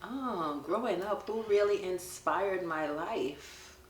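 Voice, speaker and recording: A middle-aged woman with a Jamaican accent, telling her son stories in a relaxed family question-and-answer chat and laughing often. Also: fairly low pitch, slow pace, reverberant, a little hiss or hum. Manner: warm storytelling, laughs often.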